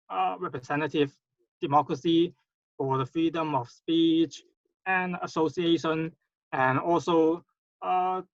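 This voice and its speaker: Casting: male seminar speaker, gravelly and halting, dull lo-fi dry sound. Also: on the high side, fairly bright tone, dry recording. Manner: halting, many fillers and repeated words. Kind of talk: online seminar presentation.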